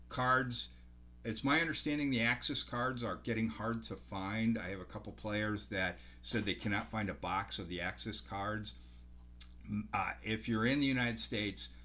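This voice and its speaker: A man in his sixties giving casual product news to camera as a game store host, in a halting, rambling way, often correcting himself. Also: sharp tone. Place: small home office by a window, indoors. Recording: clearly noisy home recording, low hum.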